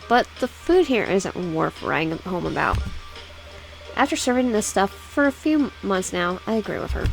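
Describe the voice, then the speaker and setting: Female game streamer, slightly low-pitched, casual read-aloud with stumbles and restarts, music behind. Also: gravelly, rough recording. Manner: halting, stumbles over words and repeats phrases. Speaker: let's player reading a visual novel aloud. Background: background music.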